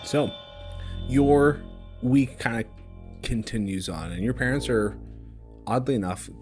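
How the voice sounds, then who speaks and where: A man running a tabletop game, narrating casually and haltingly in a conversational way. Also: mid-range voice, darkish tone. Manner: halting, conversational, peppered with "like" and "kinda". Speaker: game master. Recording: instrumental music bed behind the voice.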